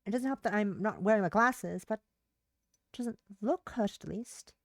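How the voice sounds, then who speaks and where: A woman's voice: mid-range voice, normal speed, gravelly, dry recording. A woman livestreamer reading a visual novel's narration aloud, stumbling over words and rereading phrases, with brief casual asides.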